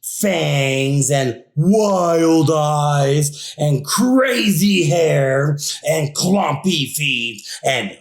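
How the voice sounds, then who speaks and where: An indignant picture-book monster, acted out by a man who insists on good manners. The voice is on the high side and very slow, full of theatrical complaint. It rings in an echoey room. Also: fairly bright tone, heavily acted. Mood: exasperated, pleading. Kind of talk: children's picture-book read-aloud.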